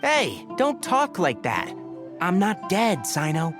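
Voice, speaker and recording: A man voicing a level-headed young scholar in an anime-style video game, articulate, matter-of-fact and gently chiding. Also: high voice, huge pitch swings, slow, over music, full character voice. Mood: exasperated.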